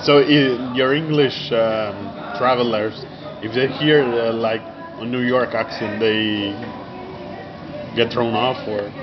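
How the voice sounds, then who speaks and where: Hesitant Argentine interviewer, music behind. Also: male voice, medium pitch, fairly even intonation, slow pace. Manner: hesitant, many fillers, laughs easily. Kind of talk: casual interview. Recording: dull recording with music behind.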